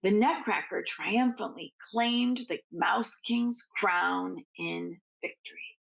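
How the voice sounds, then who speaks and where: A woman reading a children's story aloud like a teacher, expressively, with drawn-out phrasing and hushed asides. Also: mid-range voice, creaky, low-quality recording.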